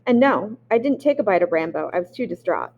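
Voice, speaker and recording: A woman reads a memoir aloud at a medium pitch. She reads expressively and sometimes catches on a word and starts it again. Her voice sits in an ordinary middle range for a woman. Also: fast talker, dull audio. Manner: expressive reading with occasional restarts. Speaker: audiobook reader.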